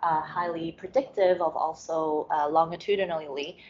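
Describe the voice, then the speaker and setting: A woman from Hong Kong giving an academic lecture over slides, speaking in a measured way with frequent pauses and fillers. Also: sits low, fairly even intonation, darkish tone, slight background noise. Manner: measured, many fillers and restarts. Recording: muffled lo-fi audio.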